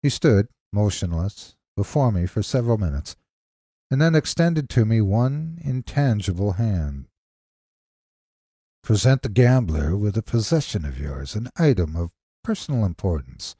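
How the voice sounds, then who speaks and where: Deep, husky male horror storyteller. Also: big pitch swings, dark tone, no room sound, studio quality. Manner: deliberate, theatrical, suspenseful phrasing. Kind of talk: scripted dramatic horror narration.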